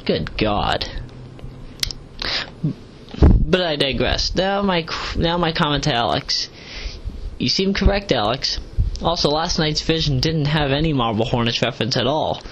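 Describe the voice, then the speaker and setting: A man rambling through an audio log in a halting way, with stiff, formal wording and repeated phrases. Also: fairly high pitch, lively intonation, fairly bright tone. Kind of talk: rambling audio log reading out comments with asides. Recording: clearly noisy home recording.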